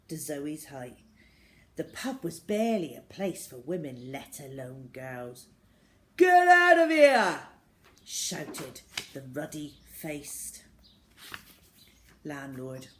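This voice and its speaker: A woman reading a children's story aloud as narrator, in an expressive storytelling style. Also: fairly low pitch.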